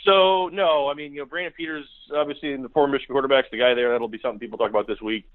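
A man's voice: medium pitch, steady tone, racing. American man, blunt analyst on phone line. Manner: blunt, critical. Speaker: guest sports analyst. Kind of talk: football analysis in a podcast interview. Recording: tinny phone-line sound.

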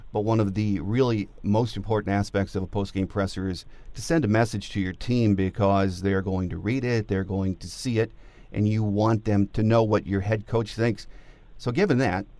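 A man's voice: lowish voice, mellow, no room sound. A man with an American accent hosting a sports podcast in a conversational interview style.

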